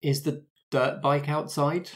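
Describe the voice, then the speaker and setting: A man's voice: fairly high pitch, level tone, takes it slowly, husky. A man hosting and narrating a tabletop roleplay podcast as game master, talking conversationally and prompting his players with questions.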